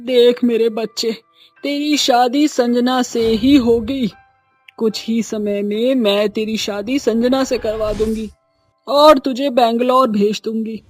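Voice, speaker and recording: A woman's voice: fairly high pitch, fairly even intonation, takes it slowly, soft background music. A woman playing a scheming, placating middle-aged mother-in-law with a coaxing, dramatic delivery in a cartoon story.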